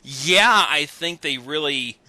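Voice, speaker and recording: A man's voice: on the high side, lively intonation, slow, pushed and bright, dry recording. A man talking casually and with strong opinions on a headset in an online voice call. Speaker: participant in a recorded online voice chat. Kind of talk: casual chat. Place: recorded Skype call.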